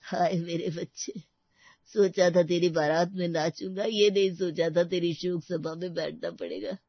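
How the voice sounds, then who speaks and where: A woman voicing excitable, sentimental male relatives with melodramatic delivery in a comic family story. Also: on the low side, a little slow, vocal fry, no room sound, dull audio. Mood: grieving.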